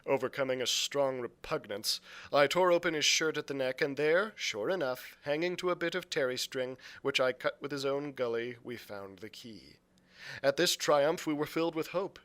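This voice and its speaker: A man narrating a storybook aloud as a librarian would, with deliberate, dramatic phrasing. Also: fairly high pitch, fairly bright tone. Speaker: youth services librarian reading aloud.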